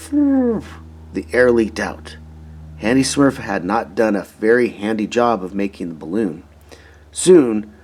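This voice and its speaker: A man reading a children's storybook aloud in an expressive, emphatic way. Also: mid-range voice, husky. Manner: animated, emphatic, dramatizing story moments. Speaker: storybook reader.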